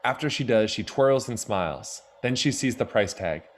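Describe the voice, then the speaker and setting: A man's voice: mid-range voice, lively intonation, moderate pace. A man reading scripted stage directions as the narrator of an audio drama.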